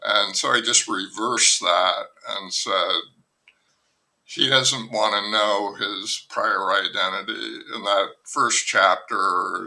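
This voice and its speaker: Slow, gravelly-voiced older man musing. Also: lowish voice, pushed and bright, low-quality recording. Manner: slow, musing, self-deprecating, with many pauses and restarts. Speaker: novelist guest. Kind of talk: reflective conversational interview.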